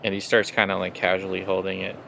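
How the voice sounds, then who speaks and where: A man narrating a tabletop role-playing game as game master, in a casual, halting, conversational way. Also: deep voice, monotone, vocal fry, noisy background.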